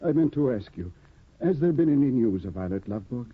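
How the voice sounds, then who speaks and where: An actor playing an eager, naive young scholar and newlywed husband in a 1950s radio drama, fussy and stammering. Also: male voice, mid-range voice, lively intonation, fast talker, husky. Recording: tinny old radio broadcast.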